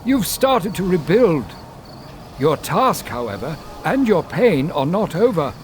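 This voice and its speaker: Slow, solemn, wise creature guide. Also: male voice, on the high side, very animated, faint music behind. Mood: solemn counsel. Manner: solemn, deliberate, oracular. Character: weary, grave wise creature guiding a girl. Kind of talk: dark fantasy video game acting.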